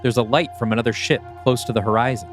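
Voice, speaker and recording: Male narrator, medium pitch, scripted present-tense scene narration, audio play, faint music. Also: steady tone, fast.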